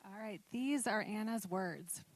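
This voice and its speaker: A woman teacher reading a student's essay aloud at a ceremony in a steady, measured way. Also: mid-range voice, no room sound.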